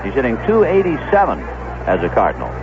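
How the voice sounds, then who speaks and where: A man doing 1970s radio baseball play-by-play, measured and descriptive, telling small stories between pitches. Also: wildly swooping pitch, over music, a crowd in the background. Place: stadium broadcast booth. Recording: dull lo-fi vintage AM radio broadcast.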